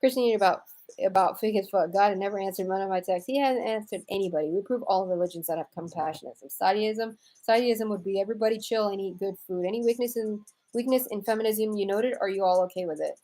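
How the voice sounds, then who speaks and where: Mellow-voiced American woman chatting casually. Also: medium pitch, fast, poor audio. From United States, raised in Houston, Texas. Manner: wry, irreverent, informal with slang. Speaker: live-stream host. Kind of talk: casual chat, reading and answering viewer comments.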